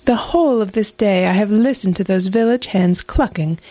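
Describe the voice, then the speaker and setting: A woman voicing a sly, polite mother fox who is thin and hungry, talking smoothly and flatteringly. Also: medium pitch, moderate pace, no echo, voice pushed up, lightly acted.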